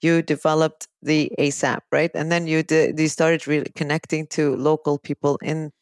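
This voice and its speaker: A middle-aged woman hosting a talk show interview, chatty and quick to agree with her guest. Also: sits low, little pitch movement, no echo, clean studio sound. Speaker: podcast host, psychology teacher. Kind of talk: casual interview chat.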